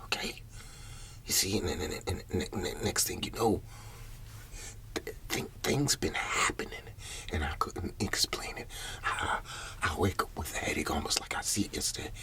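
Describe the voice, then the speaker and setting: A man playing a paranoid, troubled older Southern farmer in an ASMR roleplay, whispering haltingly and repeating his words as he rambles. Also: low-pitched, sharp tone. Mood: uneasy, bewildered. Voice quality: breathy whisper.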